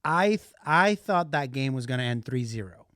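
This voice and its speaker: A man co-hosting a soccer podcast in casual chat, excitable and repetitive, dropping Spanish words into his English. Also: medium pitch, moderate pace, dry recording, clean studio sound. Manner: excitable, repeats himself, mixes Spanish into English. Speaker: podcast co-host.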